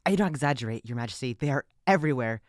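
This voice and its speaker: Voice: mid-range voice, animated, very fast, no room sound, clean studio sound, lightly acted. An actor in an audio drama playing an earnest, loyal royal retainer with a formal, declamatory manner. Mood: alarmed, urgent.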